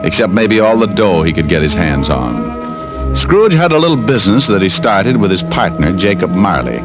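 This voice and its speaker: A man with a lowish, very animated voice tells a story in vintage radio style. He is wry and slangy, with loud music behind him. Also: normal speed. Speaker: narrator and announcer. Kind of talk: 1940s-50s radio comic storytelling.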